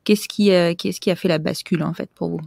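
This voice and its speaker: A woman hosting a podcast interview, chatty and quick to laugh. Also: fairly low pitch, steady tone, creaky, a little mellow. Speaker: podcast host. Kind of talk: conversational interview.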